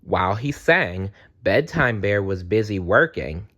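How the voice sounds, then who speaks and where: Animated, lower-voiced man reading children's stories. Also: a little slow. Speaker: read-aloud storyteller. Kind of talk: expressive children's story narration.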